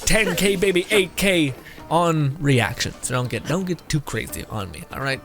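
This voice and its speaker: A male game streamer with a lively, swooping, slightly high voice. He commentates casually, jokes and swears, with game music clearly audible behind him. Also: moderate pace. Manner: jokey, profane, repeats catchphrases. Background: video game music.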